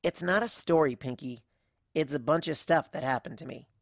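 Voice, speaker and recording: A woman's voice: deep voice, moderate pace, gravelly, no room sound, studio quality. A woman voicing an anxious, bookish young female cartoon pony princess who tells her story in a wry, fretful, dramatic way. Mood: bewildered, exasperated. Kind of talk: fan fiction audio reading in character.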